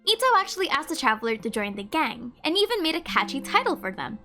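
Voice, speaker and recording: High, lively-pitched woman narrator. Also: fast, faint music behind. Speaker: video creator, host. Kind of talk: casual scripted video narration.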